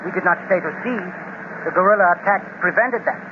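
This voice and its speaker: A man's voice: sits high, brisk, close to an everyday voice. A man playing a fawning, formal villain's henchman. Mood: deferential. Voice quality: stiff, formal, deferential speech. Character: servile male henchman, deferential and formal. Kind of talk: 1930s radio serial acting. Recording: tinny, hissy old radio transcription.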